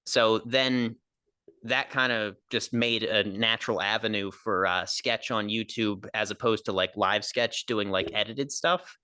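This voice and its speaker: A man's voice: on the low side, unhurried, bright, forceful tone, clean tone, no echo, studio quality. A young man, a stand-up comedian, talking casually on a podcast in a nasal voice, rambling with lots of fillers. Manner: rambling, filler-heavy, laughs easily.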